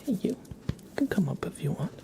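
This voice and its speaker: An ASMR livestream host speaks in breathy whispers and soft murmurs, close to the mic. The delivery is gentle and affectionate, with a strong creak in the voice and very varied intonation. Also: medium pitch, sing-song, fast talker. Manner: gentle, affectionate cooing at a pet. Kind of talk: live ASMR, whispered and soft-spoken greetings and chat. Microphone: close sensitive microphone.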